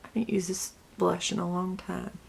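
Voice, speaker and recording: A woman's voice: fairly low pitch, little pitch movement, moderate pace, vocal fry, slight background noise. A young woman with a slight Southern US accent, chatting snarkily through a get-ready-with-me makeup video and muttering asides. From Connecticut and the US South. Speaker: beauty vlogger. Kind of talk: casual get-ready-with-me chat.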